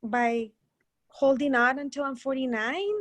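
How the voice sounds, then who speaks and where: A woman's voice: high voice, a little slow. A woman in her forties asking a question in a Q&A session, hesitant with restarts. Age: 40s. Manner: hesitant, with nervous laughs and restarts. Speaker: caller.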